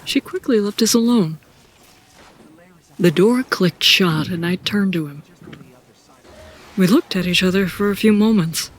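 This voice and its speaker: A woman playing an introspective seasoned warrior who narrates her own story in an audio drama, reflective and deliberate. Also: mid-range voice, slight background noise, natural voice. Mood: reflective, uneasy. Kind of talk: first-person scripted audio drama narration.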